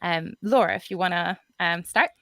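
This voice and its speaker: A woman's voice: fairly low pitch, normal speed, bright, forceful tone, no echo, clean studio sound. A woman moderating a livestreamed panel over a video call, talking casually and hesitantly with many fillers. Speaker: podcast co-host and event moderator.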